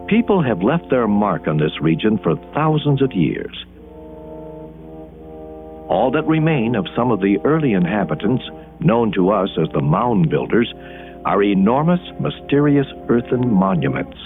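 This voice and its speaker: A man with an American accent narrating an educational documentary in measured, deliberate phrases with dramatic pauses. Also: on the low side, sing-song. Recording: muffled band-limited 1980s film soundtrack. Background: background music.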